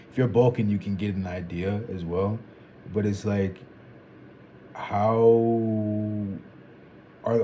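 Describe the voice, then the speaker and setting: A man in his twenties thinking out loud in a casual, rambling vlog, restarting sentences and dropping "like" and "bro". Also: lowish voice, a little hiss or hum. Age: 20s. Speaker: vlogger. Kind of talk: casual reflective monologue.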